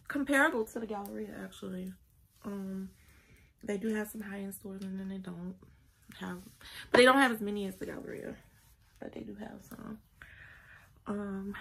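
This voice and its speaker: A chatty woman talks into a phone held close in a small bedroom. Her mid-pitched voice has a gravelly fry to it, and faint room noise hums behind her. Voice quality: gravelly morning voice. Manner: chatty, laughing, addresses viewers as y'all. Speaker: vlogger. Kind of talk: casual chat to camera. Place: small bedroom at home, indoors. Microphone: phone held close or propped at arm's length.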